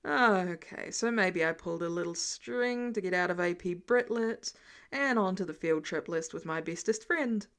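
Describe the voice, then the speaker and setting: A woman's voice: on the low side, moderate pace, fairly bright tone, voice pushed down. Woman voicing a nonchalant rich teenage boy. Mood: playful. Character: bored, confident rich teenage heir, smirking. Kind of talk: audiobook character dialogue.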